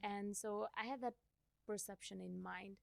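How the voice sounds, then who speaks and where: A young woman from India whose first language is Gujarati, talking reflectively as a podcast guest and thinking aloud with soft laughs. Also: medium pitch, fairly even intonation, unhurried, gravelly, no echo. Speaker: podcast guest, company CEO. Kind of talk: casual interview conversation.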